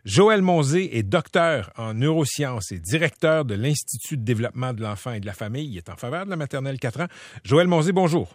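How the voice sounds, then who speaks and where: A man hosts a radio interview, introducing his guest and asking direct questions with the odd filler. His voice is heard close and dry, in an average pitch. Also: animated, a little fast, no echo. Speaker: radio host.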